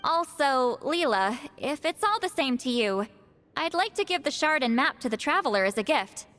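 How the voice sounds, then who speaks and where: High-voiced, sassy young spirit seer. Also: female voice, normal speed. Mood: confident, explaining. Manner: brash, teasing, expressive. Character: sassy, proud young female spirit seer, secretly flustered. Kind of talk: animated video game cutscene acting. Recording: long echoing reverb, music underneath.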